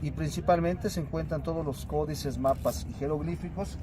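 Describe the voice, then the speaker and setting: A man's voice: on the high side, fairly even intonation, normal speed. A man working as a tour guide, giving a salesy, theatrical explanation outdoors at a tourist site.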